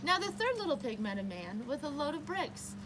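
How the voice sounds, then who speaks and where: Woman reading a kids book out loud, highish voice, emphatic, noisy home recording. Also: very animated, a little fast. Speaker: read-aloud storyteller. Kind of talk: children's picture-book read-aloud.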